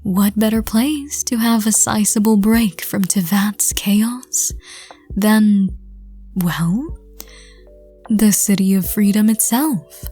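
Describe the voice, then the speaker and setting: A woman reading scripted second-person story narration in a soft, gentle, hushed voice. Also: mid-range voice, takes it slowly, faint music behind. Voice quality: soft, breathy. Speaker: narrator and audio roleplay reader.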